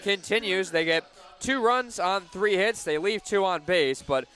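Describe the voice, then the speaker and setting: A man calling live softball play-by-play for radio, measured between pitches and animated on big plays.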